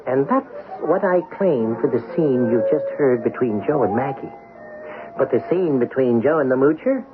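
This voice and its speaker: A man's voice: medium pitch, expressive, normal speed, close to an everyday voice. A man playing a whimsical talking coin who tells his story in chatty, self-satisfied, poetic fashion for a radio drama. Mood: chatty, playful. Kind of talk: old-time radio drama narration. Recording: dull lo-fi radio broadcast, music behind.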